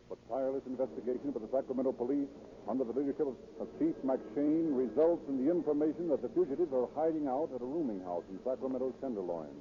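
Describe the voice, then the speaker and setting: A man announces in a formal, declamatory 1930s radio manner. His pitch is medium. The old recording is dull, with clear background noise. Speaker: narrator and announcer. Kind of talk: 1930s radio scripted narration.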